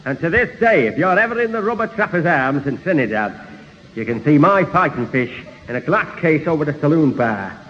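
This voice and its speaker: An actor playing a jovial, blustering neighbour who tells boastful tall tales pompously in a 1970s radio sitcom. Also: male voice, a little high, expressive, fairly quick, fairly bright tone. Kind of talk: 1970s radio sitcom acting before an audience. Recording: muffled old radio hall recording, hiss.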